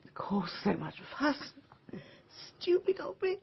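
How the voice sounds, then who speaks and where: A woman's voice: high voice, very animated, unhurried, vocal fry, muffled recording, heavily acted. An actress playing a frail older woman, weak and suffering, in a radio drama. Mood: weak, apologetic. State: weak, in agony.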